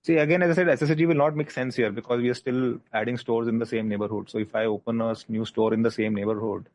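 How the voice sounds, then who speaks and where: A man with an Indian accent, a company executive answering analyst questions in a measured, explanatory way on a conference call. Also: mid-range voice, fairly even intonation, darkish tone, no echo. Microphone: conference call line.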